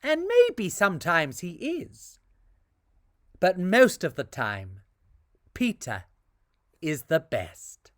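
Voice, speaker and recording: Lady reading a kids story, slow and deepish, very expressive, dry sound. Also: no room sound.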